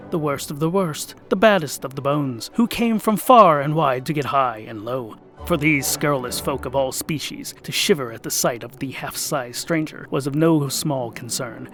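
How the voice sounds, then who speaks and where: A man's voice: on the high side, fairly bright tone. A man narrating a scripted comic fantasy tale in a theatrical, mock-epic manner with wry asides. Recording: voice over music. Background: music.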